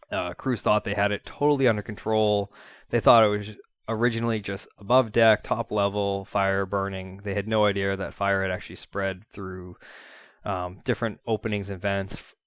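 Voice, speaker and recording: A man giving an informal spoken debrief in an earnest, plain-spoken, halting manner, like a trainer talking to colleagues. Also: lowish voice, a bit bright, no room sound. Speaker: fire-rescue commentator and trainer.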